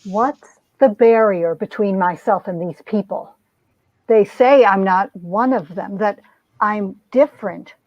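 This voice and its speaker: A woman's voice: mid-range voice, slow pace, a little mellow, dry recording. A woman playing a wounded, proud young heroine, narrating and acting out a romance comic with earnest melodrama. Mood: resolute. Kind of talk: dramatic reading of a 1950s romance comic, narration and dialogue. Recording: dull, lo-fi sound.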